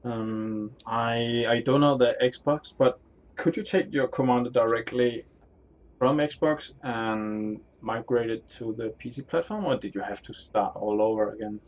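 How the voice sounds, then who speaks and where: A man's voice: mid-range voice, measured pace, highs cut off. A man hosting a casual online interview, asking curious follow-up questions. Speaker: podcast host. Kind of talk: casual interview over voice call.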